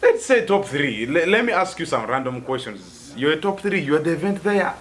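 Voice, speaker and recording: A man's voice: on the high side, big pitch swings, moderate pace, a bit bright. A man with a Ugandan accent hosting a comic interview in a playful, teasing manner. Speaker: satirical news show host.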